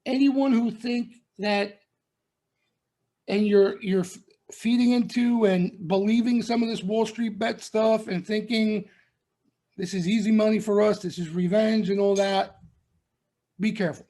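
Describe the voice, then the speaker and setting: A man's voice: high-pitched, fairly even intonation, measured pace, pauses often, mellow. A middle-aged man giving a market commentary broadcast, warning listeners emphatically and repeating his points. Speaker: stock trading commentator. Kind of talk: members-only market broadcast.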